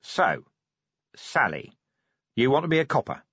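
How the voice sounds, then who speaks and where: A man's voice: mid-range voice, very animated, measured pace, a bit bright, breathy edge, no echo, highs cut off, pitched above the performer's own voice, heavily acted. A man voicing an irritable, world-weary police commander with a curt, sardonic way of talking, in an audiobook story.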